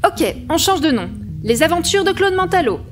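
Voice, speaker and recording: A woman playing an overenthusiastic pitcher of adventure stories in a French comedy audio drama. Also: very animated, moderate pace, a little hiss or hum. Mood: excited.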